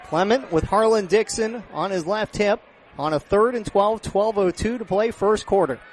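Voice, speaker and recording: An American man doing live radio play-by-play of a football game, projecting his voice and getting excited on big plays. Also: high voice, moderate pace, rough recording. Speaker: play-by-play announcer. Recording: live outdoor stadium broadcast, background noise.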